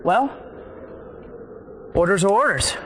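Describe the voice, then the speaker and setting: An actor playing an excitable young army private who defers to his superiors, in a video game cutscene. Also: high voice, slow pace. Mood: urgent. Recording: noisy, distant-sounding scene.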